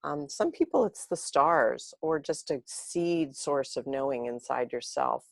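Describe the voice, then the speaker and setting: A woman's voice: low voice, lively intonation, measured pace, vocal fry, dry recording. A woman guest speaking earnestly and reflectively, building long flowing thoughts in conversation. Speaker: interview guest.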